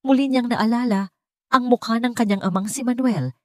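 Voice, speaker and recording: A woman's voice: fairly high pitch, moderate pace, dry recording, clean studio sound. A woman with a Filipino accent reading a scripted dramatic story as a narrator. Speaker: audiobook narrator.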